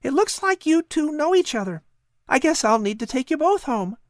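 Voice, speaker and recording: A woman's voice: sits high, very animated, moderate pace, dry recording, clean studio sound, voice pushed up. A woman voicing a cheerful, playful seven-year-old boy in a storybook. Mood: musing. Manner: eager and playful. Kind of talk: storybook reading.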